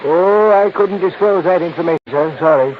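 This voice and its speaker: An actor playing a deferential, hesitant male hotel clerk in a 1950s radio drama, polite and halting. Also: high-pitched, natural voice. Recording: muffled, noisy 1950s radio recording.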